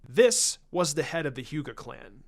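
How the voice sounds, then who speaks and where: A man's voice: a little high, sing-song, normal speed, creaky. A man narrating a scripted story as a reader of fan fiction. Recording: music under the voice. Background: background music.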